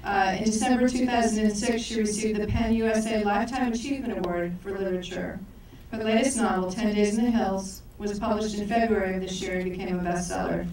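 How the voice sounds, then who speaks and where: A woman's voice: medium pitch, fairly even intonation, fast talker, hardly any pauses, darkish tone, slight background noise. A woman co-director opening a live event, reading speaker introductions with stumbles and self-corrections. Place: large echoey event hall. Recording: echoey hall from far off.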